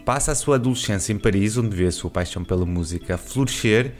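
A man hosting a podcast, reading scripted narration in a calm, measured way. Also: on the low side, soft background music. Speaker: podcast host.

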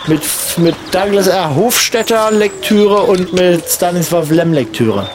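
Casting: male actor as lazy contrarian loafer, drawling and mock-pompous, fairly high voice, comic radio play with outdoor noise behind. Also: expressive, measured pace. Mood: declaiming. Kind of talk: scripted comic audio drama in casual German dialogue.

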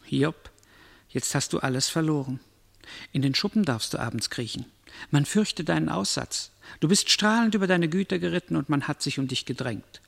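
A man's voice: a little high, lively intonation, normal speed, vocal fry, fairly bright tone, full character voice. A man playing a stern, mocking narrator of doom, declaiming solemnly and incantatorily in a literary radio drama. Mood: solemn, ominous. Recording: long cavernous reverb.

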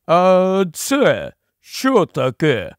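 A man's voice: high voice, sing-song, unhurried, a little mellow, no room sound, clean studio sound, voice pushed up. A man voicing a stately, courteous giant king in a storybook, speaking formally with regal measure. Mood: curious. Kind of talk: acted audiobook storytelling.

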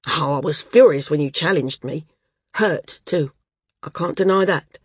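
A woman acts out an ailing but hearty storybook man. The delivery is loud and frank, low and husky, recorded very dry. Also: a little slow, no echo, full character voice. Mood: frank, rueful. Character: booming, hearty, good-natured ailing man.

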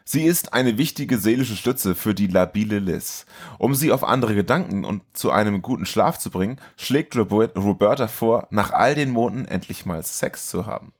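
A man's voice: lowish voice, lively intonation, moderate pace, slightly rough. A man co-hosting a film podcast in a casual, chatty style. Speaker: podcast co-host.

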